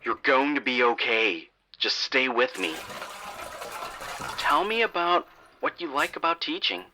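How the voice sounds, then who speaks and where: A man's voice: medium pitch, lively intonation, measured pace, noisy background, lightly acted. A man playing a composed, reassuring emergency dispatcher in a horror audio drama, asking questions in a controlled, procedural way.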